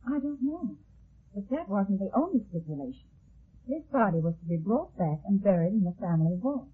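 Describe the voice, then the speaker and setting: An anxious young heiress in a vintage radio mystery. Her voice is husky and melodramatic, catching and stammering as she talks. The old 1950s recording is thin and narrow. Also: mid-range voice, very animated, moderate pace. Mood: earnest, uneasy. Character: anxious young heiress suspected of murder, pleading. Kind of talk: 1950s radio detective drama acting.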